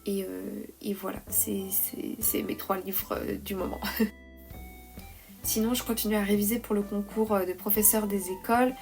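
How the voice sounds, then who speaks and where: A young woman with a slight head cold, talking softly and hesitantly in a calm, cosy vlog voice-over. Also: mid-range voice, unhurried, gravelly, faint music behind, rough recording. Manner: soft, hesitant, many "euh" fillers. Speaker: vlogger, freelance illustrator.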